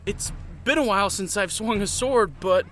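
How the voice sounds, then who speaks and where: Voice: mid-range voice, moderate pace, a bit bright, close to an everyday voice. An actor voicing a timid, stammering young male recruit in an audio drama, hesitant but eager to be brave. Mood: nervous, earnest. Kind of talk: scripted audio drama acting.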